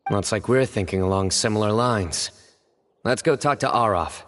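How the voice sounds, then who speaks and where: An actor voicing a stern young male judge in a game, calm and deductive in a measured way. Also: on the low side, noisy background, lightly acted.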